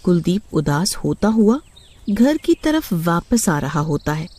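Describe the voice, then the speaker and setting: A woman's voice: on the low side, sing-song, slow, dark tone. A woman narrating a scripted horror story for children.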